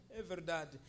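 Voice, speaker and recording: A man who is a guest preacher at a church service, speaking in a chatty, joking way that often asks "né?" and calls for amens. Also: high voice. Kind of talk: informal church sermon.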